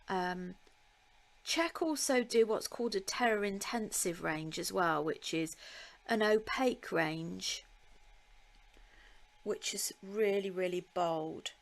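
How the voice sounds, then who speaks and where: This is a woman teaching a craft on a live stream, talking in an unhurried, friendly way. Her pitch sits in a middle range, and she often pauses with an um as she works. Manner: chatty and slow, with laughs and ums, talking to viewers by name. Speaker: beading tutor.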